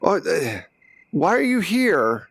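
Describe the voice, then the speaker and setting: A man's voice: high voice, sing-song, slow, gravelly, a bit bright, slightly rough, no echo, voice pushed up, full character voice. A man voicing a perplexed security guard in a tabletop game, hesitant and questioning. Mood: confused, flustered.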